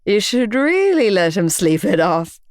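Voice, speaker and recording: A woman's voice: deep voice, fairly even intonation, a little slow, clear, resonant tone, no echo, clean studio sound, pitched below the performer's own voice. A woman voicing a mocking young male gangster who snickers and sneers in a storybook reading.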